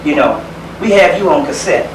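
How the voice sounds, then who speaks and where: A man with a Southern US accent gives an informal talk in a high register for a man. He is conversational and folksy, often pausing and circling back over a phrase. The recording is roomy and distant from the microphone. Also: expressive. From South Carolina, United States. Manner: folksy, conversational, hesitant pauses with repeated phrases. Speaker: lecturer. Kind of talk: informal community lecture. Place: indoor lecture gathering. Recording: roomy, distant microphone, faint background noise.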